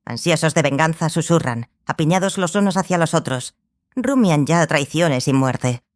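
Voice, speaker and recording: A composed, low-key scholarly sorceress mentor. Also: female voice, expressive, normal speed. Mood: explanatory. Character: small sorceress, learned lady mentor, composed and exacting. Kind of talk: audiobook drama acting.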